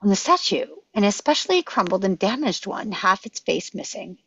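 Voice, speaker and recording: A woman reads stage directions in short scripted phrases at a medium pitch. The sound is very dry and a little lo-fi. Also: sing-song, normal speed, fairly bright tone, husky, dry recording. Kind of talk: scripted narration at a table read. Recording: slightly dull, lo-fi microphone.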